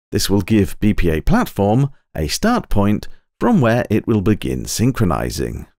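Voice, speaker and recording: A man reading scripted instructional narration for a software tutorial, in a measured, precise, step-by-step manner. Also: on the low side, no echo, studio quality. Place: close, dry voice-over recording.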